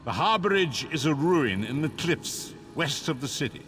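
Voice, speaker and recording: An older man playing a blind old prophet in a fantasy video game, frail and grave, speaking in solemn, measured, archaic phrases. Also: fairly high pitch, expressive, background noise, lightly acted. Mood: grave, urgent. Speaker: story guide. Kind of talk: dramatic fantasy game narration.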